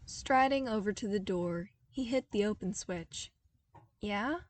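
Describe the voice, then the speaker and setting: A woman reading a story aloud in an expressive, scripted narration style. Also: medium pitch, a little slow, far from the mic. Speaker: podfic narrator reading fan fiction.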